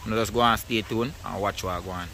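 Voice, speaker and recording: A man's voice: on the low side, fairly even intonation, fast talker, gravelly, sharp tone, slight background noise. A Jamaican man hosting a casual outdoor food vlog in a rural yard, laughing often and mixing in patois. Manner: laid-back, laughs often, patois slang. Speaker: vlogger host.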